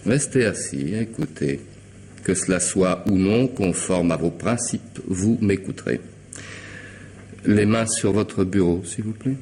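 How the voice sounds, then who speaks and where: An actor playing a coolly polite, intelligent hired killer in a radio mystery drama, speaking deliberately and sardonically, with commanding theatrical pauses. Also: male voice, low-pitched, darkish tone. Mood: cold, commanding. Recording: noisy old radio drama recording.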